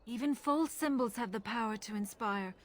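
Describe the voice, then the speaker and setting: An actress playing a thoughtful, sceptical religious scholar in a fantasy game, speaking earnestly and articulately. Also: female voice, on the high side, normal speed, close to an everyday voice.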